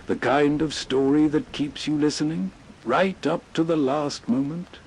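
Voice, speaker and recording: A man narrating softly and calmly late at night. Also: fairly high pitch, measured pace, slight background noise, low-quality recording. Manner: calm, soothing.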